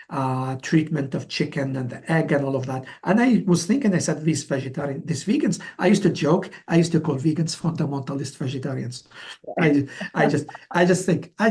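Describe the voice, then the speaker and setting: A man in his forties from Algeria answering as an interview guest, telling his story conversationally in a halting way, often repeating words and restarting. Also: on the high side, darkish tone. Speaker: interview guest, company founder.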